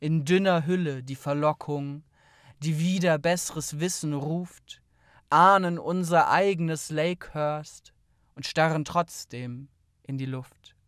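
Slow, clear poetry reader. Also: medium pitch, fairly even intonation, dry recording, clean studio sound. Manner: measured, deliberate phrasing with pauses. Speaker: poet reading own poems. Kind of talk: literary poetry reading, spoken word.

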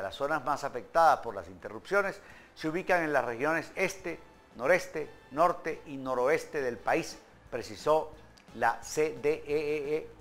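Joking older male TV host. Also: mid-range voice. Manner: jokey, bursts into laughter during ad reads. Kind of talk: news reading and joking ad reads. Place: TV studio. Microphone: studio mic.

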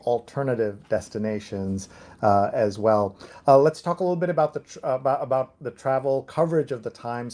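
A middle-aged man with a soft-edged, fairly dark voice hosts a live interview over a video call. He speaks conversationally, putting questions to a guest and addressing viewers. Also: medium pitch. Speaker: host, interviewer. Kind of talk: conversational live-show hosting. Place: room with bookshelves.

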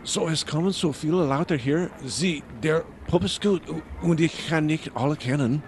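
An actor playing a nervous Viennese porter in a radio drama, hesitant and stammering, with a German accent. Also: male voice, fairly high pitch, normal speed, slight background noise, close to an everyday voice.

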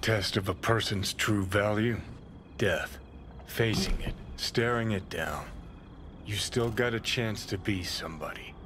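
A man's voice: on the low side, unhurried, lightly acted. An actor playing a cynical, profane rebel man who haunts another's head in a video game. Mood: reflective. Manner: sardonic, profane, tough-talking. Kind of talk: video game dialogue acting. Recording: game ambience and traffic noise behind.

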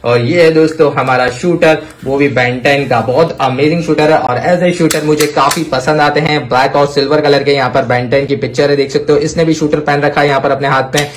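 A man hosting a toy showcase, talking to viewers with excitable, repetitive exclamations. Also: fairly high pitch, brisk, few pauses. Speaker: toy review video host. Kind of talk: enthusiastic toy showcase commentary addressed to viewers. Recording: clear background noise with clicks.

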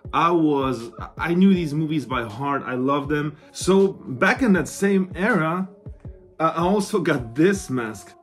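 A man in his thirties speaking casually and excitedly to camera like an informal vlogger, with some swearing. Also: a little high. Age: 30s. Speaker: vlogger, horror collector. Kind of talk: casual show-and-tell review to camera. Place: small cluttered room indoors.

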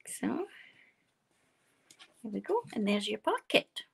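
A woman with a soft, quiet voice gives a relaxed, chatty card-making demonstration from her craft desk. Her voice is strongly gravelly with vocal fry, and her pitch sits in a middle range. She walks through each step casually as she works. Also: takes it slowly. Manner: chatty, explaining steps while working.

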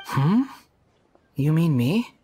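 A man's voice: fairly high pitch, big pitch swings, drawn-out words, music in the background, full character voice. An actor voicing a polite, humble young male wanderer in a video game. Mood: apologetic. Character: polite, humble, soft-mannered young wanderer working as helper.

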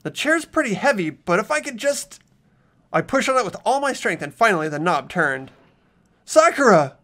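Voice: medium pitch, sing-song, normal speed, pushed and bright. A performer playing an earnest, easily startled teenage schoolboy who narrates and speaks in a visual novel dub. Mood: urgent. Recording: background game music.

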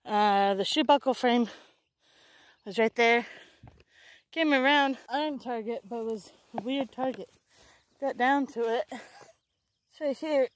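A woman in her thirties, an excited and chatty metal detecting vlogger, talking casually to camera outdoors in an open farm field. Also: fairly high pitch, slow, long pauses, dry recording. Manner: excited, chatty, self-correcting. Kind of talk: casual outdoor vlog narration. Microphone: handheld or body camera close up.

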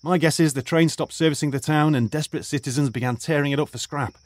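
A man's voice: fairly high pitch, dry recording, natural voice. A man playing a lecturing old archaeologist teacher. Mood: measured. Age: older. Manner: measured, explanatory, professorial. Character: retired archaeologist turned high school teacher, lecturing and cautious. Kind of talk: audio drama acting.

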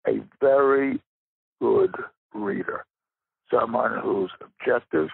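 A man with a raspy, gravelly voice giving reflective interview answers as a guest writer, pausing for emphasis. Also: mid-range voice, dry recording. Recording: muffled narrow phone-like line.

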